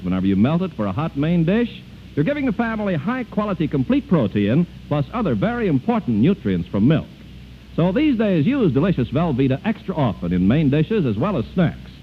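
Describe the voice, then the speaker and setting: An American man announcing a commercial in the style of 1940s radio advertising, delivering a scripted sales pitch. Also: wildly swooping pitch, fairly quick. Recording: tinny, noisy 1940s radio broadcast.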